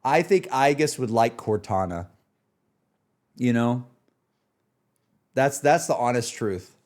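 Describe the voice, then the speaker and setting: Young guy streamer, casual gamer chat, medium pitch, excitable and rambly, pokes fun at himself. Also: takes it slowly. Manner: enthusiastic, self-deprecating. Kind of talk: casual livestream chat, rambling gaming talk.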